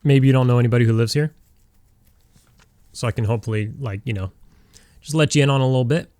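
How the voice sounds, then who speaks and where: A man's voice: medium pitch, fast, a little mellow, no echo. Rambling Californian gamer in his forties. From Southern California. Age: 40s. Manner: rambling, many fillers and restarts. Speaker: gaming streamer. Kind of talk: casual chat while playing a game.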